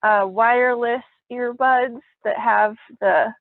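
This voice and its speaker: A woman's voice: on the high side, steady tone, slow, narrow, tinny audio. A woman casually moderating an online question session, heard over a video call. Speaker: panel moderator. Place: remote group video call. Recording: roomy, thin band-limited call audio.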